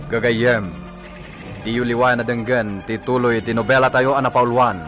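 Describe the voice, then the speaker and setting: A man's voice: medium pitch, fairly even intonation, moderate pace, a bit bright. Narrator: male, Filipino accent, mid-range voice, scripted recap and announcer reads over prominent music. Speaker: radio drama narrator and announcer.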